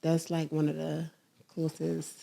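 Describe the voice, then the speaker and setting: Young philly woman rapper, kinda low voice, bold and emphatic. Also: completely flat delivery, a little slow, creaky, dark tone. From Philadelphia, USA. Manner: bold, emphatic, faith-driven. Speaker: rapper guest. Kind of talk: casual interview chat.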